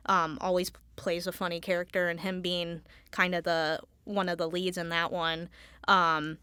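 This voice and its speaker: Low, smooth-voiced woman chatting casually. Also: flat delivery, normal speed, sharp tone, dry recording, clean studio sound. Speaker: Foley artist, interview guest. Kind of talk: casual interview chat.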